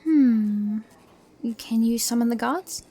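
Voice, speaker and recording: A woman playing a calm, reasonable adventurer in a fantasy audio drama, measured and diplomatic. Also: fairly high pitch, breathy edge. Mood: curious, measured. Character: calm, reasonable female adventurer organising the party.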